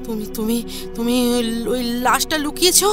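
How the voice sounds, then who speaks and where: Horror audio story wife, acted by a woman: high, slow, fearful, stammering, weighed down by guilt. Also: music in the background, natural voice. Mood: fearful pleading. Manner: halting, stammering, repeating words. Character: fearful, guilt-ridden superstitious wife pleading with husband.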